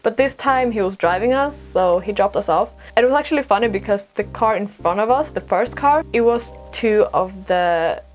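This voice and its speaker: A woman's voice: mid-range voice, expressive, normal speed, hardly any pauses, faint music behind. A young woman from Sweden, a native Swedish speaker, chatting casually and telling stories in an informal, self-deprecating way with rambling asides. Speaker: podcast host and vlogger. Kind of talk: casual chatty storytelling to camera.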